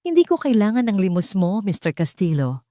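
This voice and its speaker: A woman's voice: mid-range voice, very animated, a little fast, warm tone, no room sound, clean studio sound, lightly acted. A woman voicing a proud, indignant young working woman in a dramatic audio story. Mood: angry, wounded.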